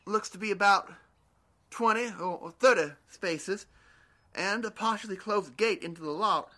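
Man playing a bright-voiced, stammering, folksy survivor. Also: sits high, normal speed. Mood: cautious. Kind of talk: audio drama acting.

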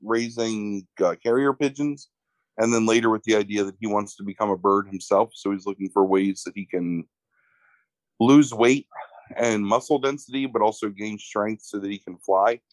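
A young man, congested, describing a movie in a casual, halting way full of fillers. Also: on the low side, fairly even intonation, no room sound, low-quality recording. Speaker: movie podcast co-host. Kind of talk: casual film review chat.

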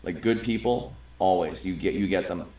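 Low-voiced middle-aged man, roomy echo. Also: moderate pace, darkish tone. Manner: rambling and earnest, with frequent restarts. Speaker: podcast co-host. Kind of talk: casual conversation. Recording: roomy, echoey sound.

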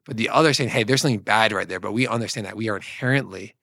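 A man's voice: on the low side, rapid-fire, gravelly, pushed and bright, no room sound. Emphatic man explaining, dry close sound. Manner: emphatic, explanatory, restates points. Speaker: co-host of a book discussion series.

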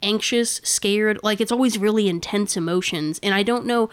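A woman's voice: mid-range voice, moderate pace, clear, resonant tone. A woman co-hosting a podcast with her sister, her voice a touch nasal. She rambles and laughs through casual chat, recorded dry and close with no room echo. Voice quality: a little nasal. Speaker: podcast co-host.

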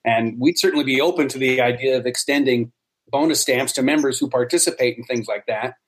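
A man talking casually as an interview guest in a measured, diplomatic way. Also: mid-range voice, poor audio. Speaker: interview guest, audio drama producer.

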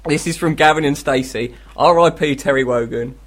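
Male radio co-host, British, voice a touch high, giggly and rambling, casual comic chat. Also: moderate pace. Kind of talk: casual comedic radio banter. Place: radio studio.

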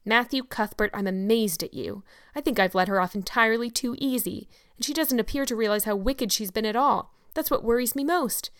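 A woman reading an audiobook voices a stern, sharp-tongued older farm woman who talks in a brisk, scolding, no-nonsense way. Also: mid-range voice, dry recording, clean studio sound, natural voice. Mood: indignant.

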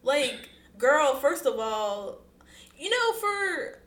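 Woman podcast co-host, sassy and blunt, bright forceful voice, roomy echoey sound. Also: sits high, sing-song, very slow. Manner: blunt, sassy, exasperated commentary. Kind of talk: casual chat reacting to a post.

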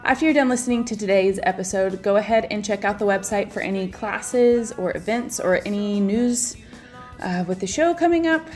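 A woman reading a scripted podcast introduction in a smooth, even delivery. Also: medium pitch, moderate pace. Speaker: show announcer. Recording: faint music behind.

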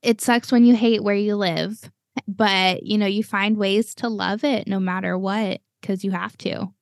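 A woman's voice: mid-range voice, fairly even intonation, measured pace, creaky, no echo. Young american woman hosting a podcast, rambly and chatty, lots of "you know", dry mic. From United States. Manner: chatty, many fillers and laughs. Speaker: podcast host. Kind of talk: casual chat.

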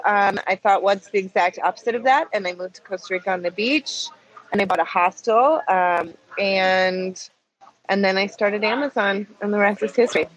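A woman guest on a podcast, telling her story in brisk, self-assured casual chat. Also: mid-range voice, low-quality recording.

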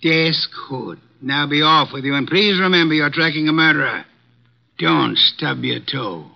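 A pompous, imperious detective holds court in an old radio play. His voice is gravelly and sits a little high. The recording is dull and muffled, like a vintage broadcast. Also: a little slow. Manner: pompous, fussy and imperious, dismissive. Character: fussy pompous portly male detective who never leaves home. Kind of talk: 1950s radio drama acting.

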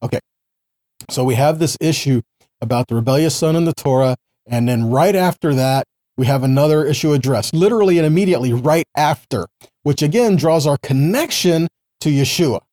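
A man with a fairly high yet mellow voice gives an informal Bible lesson. He moves between reading scripture and explaining it in a didactic manner. He often puts rhetorical questions to the listener and seeks agreement. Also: brisk. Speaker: Bible teacher.

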